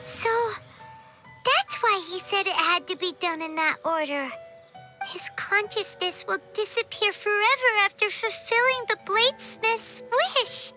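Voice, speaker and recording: Squeaky, tiny fairy companion. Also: female voice, measured pace, heavily acted. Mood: curious. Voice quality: squeaky, childlike. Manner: animated, blunt, exclaiming. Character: small childlike fairy companion, excitable, curious. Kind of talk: cartoonish game acting. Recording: loud music behind. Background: music.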